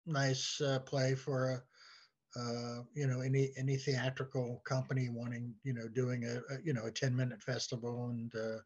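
A man speaking as a podcast guest in a halting, conversational way, often repeating words as he goes. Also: mid-range voice, level tone, dull audio. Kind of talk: conversational discussion.

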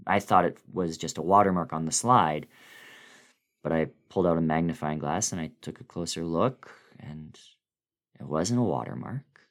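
Male narrator, very low voice, suspenseful and theatrical horror storytelling with pregnant pauses. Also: fairly bright tone. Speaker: guest reader of a horror story. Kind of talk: dramatic first-person horror story reading.

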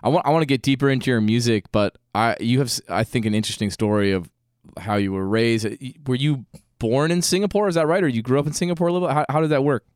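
A man hosting a podcast interview, asking quick curious questions and adding short agreeing interjections. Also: mid-range voice, darkish tone, clear, resonant tone, no echo, studio quality. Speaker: podcast host.

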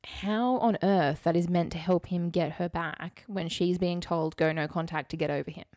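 A woman's voice: lowish voice, steady tone, normal speed, a little mellow, no room sound, studio quality. A young woman co-hosting a podcast in casual conversation, candid and wry, repeating things for emphasis. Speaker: podcast co-host. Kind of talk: casual conversational chat and storytelling.

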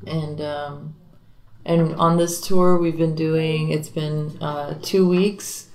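A woman's voice: low-pitched, steady tone, slow, a little mellow. A young woman from Miami, a guitarist and singer, chatting casually in an interview with many fillers. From Miami, Florida.

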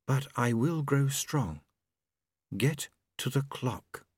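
A man voicing a faint, disembodied young man who speaks slowly and solemnly, weak as a breath. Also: medium pitch, animated, husky, no room sound, studio quality, pitched above the performer's own voice. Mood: hushed. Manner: slow, hushed, solemn.

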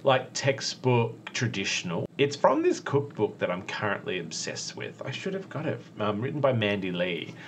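Enthusiastic Australian man, lively pitch. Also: normal speed, hardly any pauses, a little hiss or hum. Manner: enthusiastic, laughs often. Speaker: podcast co-host. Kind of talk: casual chat.

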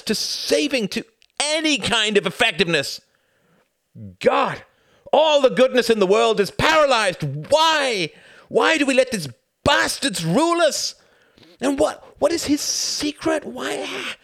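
A man voicing a furious, desperate young man who shouts and accuses his mother in an audiobook. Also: mid-range voice, vocal fry, husky, no echo, clean studio sound, voice pushed up, exaggerated voice. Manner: shouting, raging, pleading. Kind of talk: audiobook character voice.

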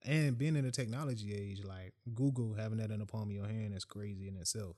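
A relaxed man hosting a podcast, with a voice that sits on the low side. He talks loosely and casually, leaning on fillers like "like" and "man" as he feels his way into a question. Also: quick pace. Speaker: podcast host.

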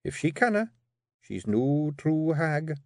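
A man puts on a young Scottish fairy queen for an audiobook. She speaks in a high, lilting pitch, meek and sweet on the surface but firm and quietly dangerous, a wife who will be obeyed. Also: big pitch swings, takes it slowly, no room sound, studio quality, full character voice. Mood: firm, resolute. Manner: deceptively meek and sweet, pointed, controlled. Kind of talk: audiobook character voice.

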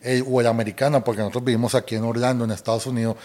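A middle-aged man with a softened Colombian accent, co-hosting a casual chat with his wife and rambling a little as he talks. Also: medium pitch, very fast. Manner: relaxed, rambling with restarts and fillers. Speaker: co-host.

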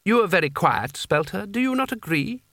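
An imperious young sorcerer lord played by a male narrator speaks in a raised pitch with a metallic edge. He is smug and coldly commanding, a storybook boy who expects no argument. The sound is close and dry. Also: very animated, moderate pace, bright, forceful tone, no room sound, full character voice. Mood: cold, probing. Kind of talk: audiobook character voice.

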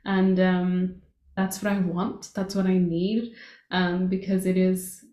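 A woman from Portugal hosting a casual podcast interview, affirming her guest and often pausing with "um". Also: on the low side, monotone, mellow. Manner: affirming, lots of "um" fillers. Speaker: podcast host. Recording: echoey room.

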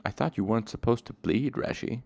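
An excitable, easily wounded young fae servant, as a male reader puts him on. He hisses and splutters his complaints, his pitch lifted high above the reader's natural range. The delivery is loud and pushy, and he turns prickly at any slight. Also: fairly quick, vocal fry, close to an everyday voice. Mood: huffy. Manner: indignant, hissing and spluttering.

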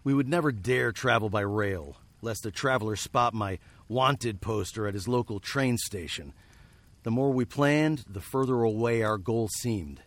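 Man acting a low-voiced, wry western fugitive. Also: no echo, natural voice. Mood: grave narrating. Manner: wry, deliberate, literary storytelling. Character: weary wry nineteenth-century fugitive gunslinger telling his tale. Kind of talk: radio drama narration and acting.

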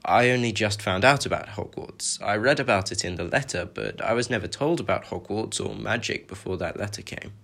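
A man voicing a timid, polite young boy who speaks haltingly and hesitantly. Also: lowish voice, vocal fry, a bit bright. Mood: earnest.

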